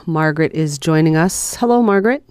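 A radio host moderates an interview and call-in segment in a polite, conversational way. The voice is smooth and resonant, recorded close and dry. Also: mid-range voice, lively intonation, a little fast, darkish tone, no room sound.

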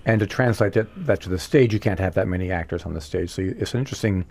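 Low-voiced man hosting an interview. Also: fast talker, vocal fry, darkish tone, husky. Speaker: radio talk show host. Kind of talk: casual interview.

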